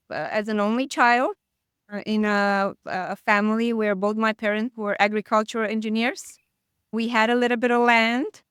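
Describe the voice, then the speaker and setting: A woman's voice: medium pitch, fairly bright tone, clean tone, no room sound, clean studio sound. A woman, a guest chatting casually in an interview, hesitant with frequent fillers and restarts. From Romania.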